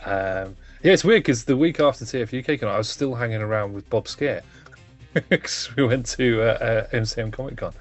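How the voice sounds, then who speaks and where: A man's voice: medium pitch, normal speed, faint music behind. A man co-hosting a casual chat, laid-back and prone to laughing and swearing. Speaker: co-host.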